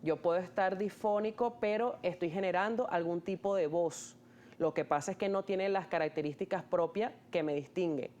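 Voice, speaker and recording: A man's voice: high voice, moderate pace, a little mellow, dull audio. A man, an ear, nose and throat doctor, patiently explaining terms in an interview, often restarting his sentences with fillers. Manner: hesitant, many restarts and fillers.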